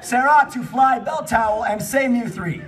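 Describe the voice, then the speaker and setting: A man's voice: extremely high pitch, moderate pace, a bit bright, slight background noise. A man hosting a live comedy reading, exclamatory and riffing, breaking from the text into casual asides. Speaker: host and reader at a live comedy panel. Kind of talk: animated comic read-aloud with casual asides. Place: convention panel room. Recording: distant mic, live audience chatter.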